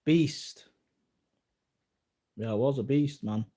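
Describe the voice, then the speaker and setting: A man's voice: medium pitch, slow, mellow. A man in his early forties talking casually to viewers in a rambling, repetitive, boastful way. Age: early 40s. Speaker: martial arts vlogger.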